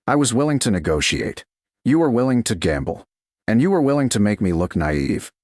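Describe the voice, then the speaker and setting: A man plays a proud medieval woman who will not be talked down to. The retorts are clipped and indignant, with swinging pitch, in a dry audiobook recording. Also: sing-song, brisk, darkish tone, dry recording. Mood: indignant, defensive. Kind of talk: audiobook character dialogue.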